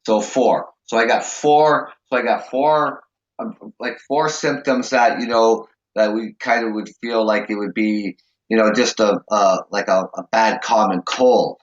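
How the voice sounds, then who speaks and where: Hoarse man hosting in an echoey room. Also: on the low side, fairly even intonation, a little slow, lo-fi sound. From Canada. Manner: rambling, many fillers and restarts. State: sore, raw throat, holding back a cough. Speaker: show host. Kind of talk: casual call-in talk show chat.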